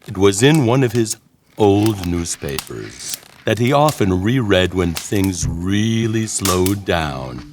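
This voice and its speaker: A man narrating a scripted horror story in a dramatic, deliberate storytelling manner. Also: deep voice, sing-song, a little mellow, a little hiss or hum. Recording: music bed under the voice. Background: music.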